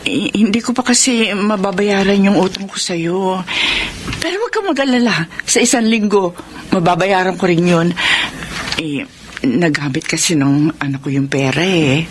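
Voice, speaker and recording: A woman's voice: fairly low pitch, normal speed, close to an everyday voice. A Filipino actress playing a meek, doting, anxious middle-aged mother, hesitant and pleading, in a radio melodrama. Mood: apologetic. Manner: hesitant, pleading, soft-hearted. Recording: noisy radio drama recording.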